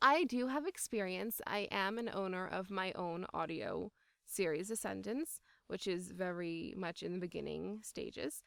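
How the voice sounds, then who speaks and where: A woman's voice: lowish voice, unhurried, gravelly, no echo, studio quality. A woman speaking as an interviewed voice actor, in casual, enthusiastic and emphatic conversation.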